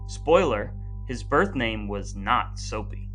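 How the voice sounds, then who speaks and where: A man's voice: on the low side, animated, slow pace, fairly bright tone, soft background music. A man co-hosting a podcast, talking in a dry, mock-formal way with plenty of puns. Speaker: podcast co-host. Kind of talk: casual chat with scripted segments.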